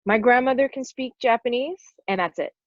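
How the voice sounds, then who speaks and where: A woman's voice: fairly high pitch, fairly quick. A woman in her forties, talking as a podcast guest, who tells her stories in a loose, rambling way with fillers sprinkled throughout. The recording sounds a bit dull and lo-fi, with a dry, close sound and no room echo. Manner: rambling storyteller, lots of "like" fillers. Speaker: podcast guest, stunt performer. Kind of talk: casual interview chat.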